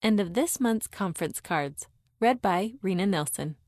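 Woman calmly reading short quotes. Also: mid-range voice, normal speed, no room sound, studio quality. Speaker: reader of a church magazine. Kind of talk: scripted narration.

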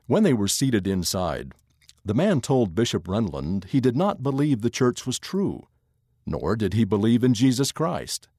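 A man giving a scripted narration of an article in a measured, expressive reading voice. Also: fairly low pitch, dry recording, studio quality.

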